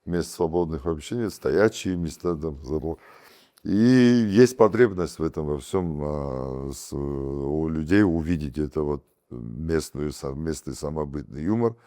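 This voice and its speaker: A man with an Abkhaz accent, talking casually as an interview guest, with animated bursts and many fillers and restarts. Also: rumbling low, a little slow. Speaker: guest, comedy team captain and producer.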